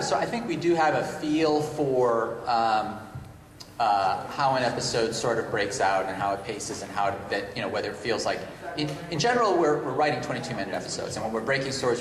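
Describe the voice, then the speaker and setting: Halting man in an echoey hall. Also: mid-range voice, slight background noise. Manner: halting, thinking aloud, many restarts. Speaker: TV animation writer on a Q&A panel. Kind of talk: convention panel answer. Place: large convention panel room.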